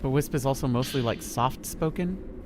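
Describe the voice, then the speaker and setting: A man's voice: medium pitch, moderate pace, noisy background. A man in his thirties streaming a horror game, chatting in a rambling, laid-back way with a lot of swearing. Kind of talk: casual gameplay commentary.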